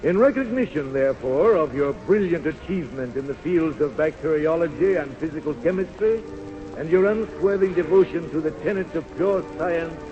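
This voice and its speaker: Radio play dignitary for a male actor: formal, stately orator, high register, dull vintage sound. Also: normal speed, faint music behind, close to an everyday voice. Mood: solemn, ceremonious. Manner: stately, ceremonious, declamatory. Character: formal, solemn male Nobel committee official presenting a prize. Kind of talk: 1930s radio acting, ceremonial speech. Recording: muffled old radio recording, long hall reverb.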